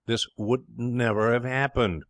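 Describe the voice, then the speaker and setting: A man voicing a gruff, blunt, scowling big working-class man in an audiobook story, forceful and ungrammatical. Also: lowish voice, animated, normal speed, dry recording. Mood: angry, defiant. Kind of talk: audiobook character acting.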